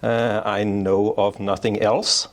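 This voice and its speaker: A middle-aged man from Denmark speaks with a gravelly, fairly low voice. He explains things patiently as an interview guest, circling back and rephrasing as he goes. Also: little pitch movement, slow pace. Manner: explanatory, self-restarting. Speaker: payments strategy executive, guest. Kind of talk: interview answers. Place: office in Oslo.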